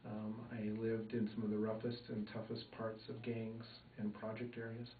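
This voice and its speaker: A man from Minnesota giving an oral history interview, talking in a thoughtful, measured way with many fillers. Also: on the low side, completely flat delivery, slight background noise. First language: English. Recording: echoey room, far mic, phone-like band.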